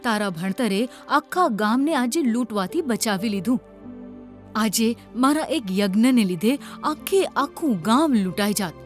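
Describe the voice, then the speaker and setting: High-voiced village mother played by a woman. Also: lively intonation, unhurried, soft background music. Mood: resolute. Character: devout village headwoman and proud mother. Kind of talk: Gujarati children's cartoon voice acting.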